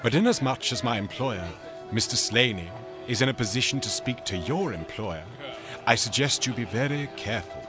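An actor playing a fussy, loyal English manservant in a radio drama, speaking with formal precision and exasperated politeness. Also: male voice, medium pitch, expressive, normal speed, over music, natural voice. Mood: firm, indignant.

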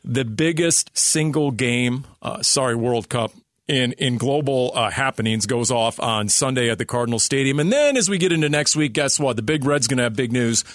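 A man's voice: mid-range voice, moderate pace, dry recording, clean studio sound. Theatrical male sports radio host. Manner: theatrical, emphatic showman delivery. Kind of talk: hyped sports talk show banter.

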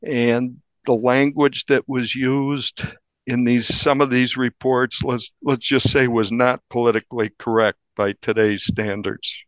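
A man speaking as a reporter in an interview, recounting things in a measured, descriptive, conversational way. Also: mid-range voice, little pitch movement, clear, resonant tone, no echo. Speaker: newspaper reporter, interview guest. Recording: dull, band-limited audio.

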